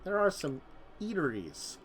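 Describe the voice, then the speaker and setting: A man's voice: a little high, wildly swooping pitch, unhurried, slight background noise. A man running a tabletop vampire game as game master, talking casually with many fillers.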